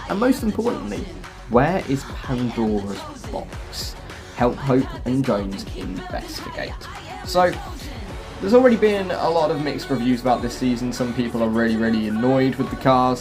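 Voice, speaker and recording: A man's voice: medium pitch, expressive, normal speed. A man hosting a gaming video, giving casual, chatty guide commentary and explaining as he goes. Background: music.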